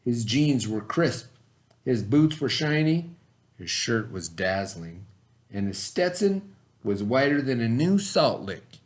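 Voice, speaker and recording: A man narrating a children's storybook aloud in an expressive read-aloud style. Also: medium pitch, takes it slowly. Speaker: storybook reader.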